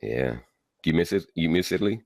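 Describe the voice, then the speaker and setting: A man hosting an interview, asking relaxed, friendly questions with plenty of fillers. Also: low voice, quick pace, creaky, no room sound, studio quality. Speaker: podcast host. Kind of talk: casual interview chat. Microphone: video call.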